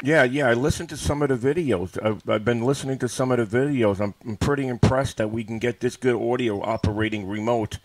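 A man's voice: medium pitch, brisk, few pauses, a little hiss or hum. A man from the USA making casual amateur radio contacts, using callsigns and radio phrases and often repeating himself. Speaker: amateur radio operator and livestream host. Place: indoors at a computer. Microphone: studio microphone connected to PC.

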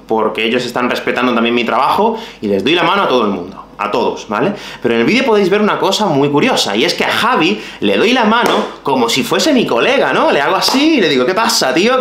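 A man from Málaga teaches Spanish straight to the camera, his Andalusian accent worn down but still there. His pitch rises and falls a great deal as he gestures and speaks directly to his viewers. The small home room around him gives the voice a clear echo. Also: a bit bright. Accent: Andalusian, softened. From Málaga, Spain. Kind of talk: explaining to the camera for language learners.

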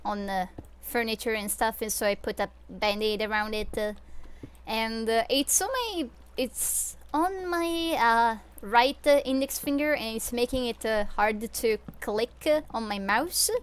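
A young Swiss woman livestreams gameplay from home, chatting in a loose, rambling way with frequent fillers and small laughs. Her voice sits a touch high and comes through smooth and clear on a desk microphone. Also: unhurried. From Switzerland. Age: young adult. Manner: rambling, many fillers, giggly. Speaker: streamer. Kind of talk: casual live gameplay chat. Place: home room.

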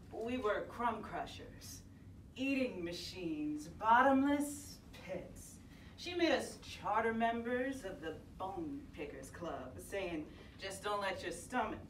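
Slow, expressive woman reading poetry. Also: medium pitch, a little hiss or hum. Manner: measured, expressive, voices the mother's sayings. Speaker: poetry reader. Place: gallery room, indoors. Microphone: far camera. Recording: echoey room, distant mic.

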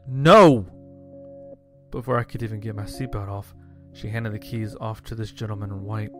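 A man narrating a scripted first-person horror story in a measured, dramatic way. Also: lowish voice. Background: music.

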